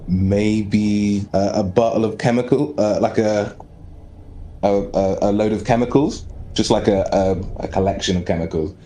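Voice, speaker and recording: A man chatting casually as a player in a tabletop game, speaking haltingly and repeating words. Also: fairly low pitch, steady tone, mellow, a little hiss or hum. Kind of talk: casual chat.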